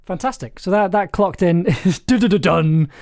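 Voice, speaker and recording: A man's voice: high voice, animated, moderate pace, darkish tone. A man hosting a livestream as writer and director, giving casual, encouraging feedback in a hesitant, rambling way. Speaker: host, writer-director of audio drama.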